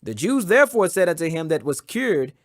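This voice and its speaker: A man giving a solemn, emphatic and measured dramatic reading of scripture as an audiobook. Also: fairly high pitch, very animated, no echo, clean studio sound. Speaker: Bible reader.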